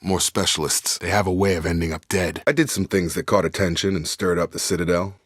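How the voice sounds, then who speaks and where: Deep-voiced, plain-spoken soldier. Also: male voice, steady tone, brisk, fairly bright tone, no echo, clean studio sound. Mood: matter-of-fact, guarded. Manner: plain-spoken, clipped military phrasing. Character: steady, principled male soldier, loyal yet guarded, plain-spoken realist. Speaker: video game squadmate and security officer. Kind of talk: video game voice acting.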